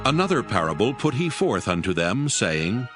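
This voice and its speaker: A man narrating a dramatized scripture reading in a measured, formal manner. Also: on the low side, big pitch swings, over music.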